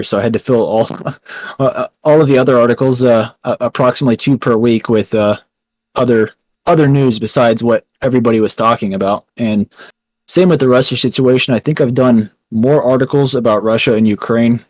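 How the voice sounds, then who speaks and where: A man's voice: medium pitch, a little mellow, no room sound. A young man with a Texan accent, answering interview questions with many ums and restarts. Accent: Texan American. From Texas, USA. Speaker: founder and writer of a small news site.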